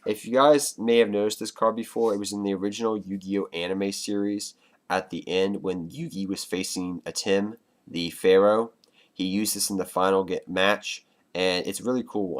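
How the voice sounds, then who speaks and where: Male vlogger, very low pitch, stuffy nose from a cold, chatty and loose delivery to viewers. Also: fairly even intonation, moderate pace. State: congested with a cold. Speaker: card game vlogger. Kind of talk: casual deck explanation to viewers.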